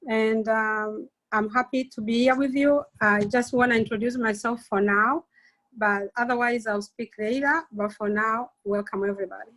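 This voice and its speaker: Older-ish east african lady, fairly high voice, saying hello on a video call. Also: steady tone, moderate pace, a bit bright, rough recording. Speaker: host's mother, guest. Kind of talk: brief greeting on a video call.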